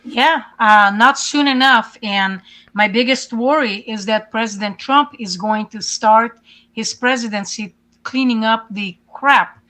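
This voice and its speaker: A woman's voice: a little high, measured pace, bright, forceful tone. Woman talking earnestly. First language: Hungarian. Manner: earnest, many fillers and restarts. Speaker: guest. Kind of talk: casual interview talk.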